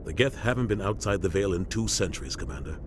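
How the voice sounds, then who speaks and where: An actor playing a seasoned, loyal military starship captain in a video game, grave and measured with authority. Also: male voice, deep voice, expressive. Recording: clear engine-like ship noise behind.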